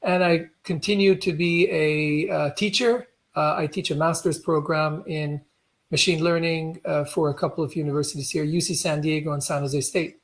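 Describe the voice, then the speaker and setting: A man introducing himself on a tech panel, speaking with many fillers and pauses. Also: on the high side, fairly even intonation.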